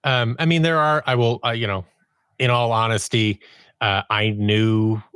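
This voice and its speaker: A man speaking as a guest writer and producer in a casual interview, haltingly thinking aloud and restarting his phrases. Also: mid-range voice, fairly even intonation, a bit bright, clear, resonant tone, dry recording, studio quality. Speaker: podcast guest, audio drama writer and producer.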